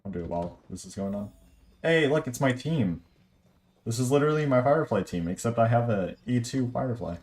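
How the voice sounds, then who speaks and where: A man's voice: medium pitch, lively intonation, fairly quick, a little mellow. A man streaming a video game, giving informal commentary and muttering asides to himself as he plays. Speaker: gaming streamer. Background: game music.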